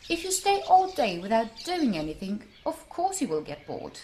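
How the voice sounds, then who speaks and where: A woman voicing a kind, patient father bear in a storybook, explaining things gently. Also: on the high side, sing-song, normal speed, slight background noise.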